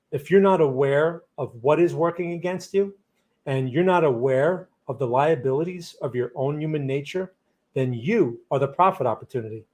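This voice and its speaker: A man's voice: on the high side, a little mellow. A man with a New Jersey accent hosting a live market-analysis stream, answering chat questions in a blunt, opinionated, rambling way. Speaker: trading livestream host.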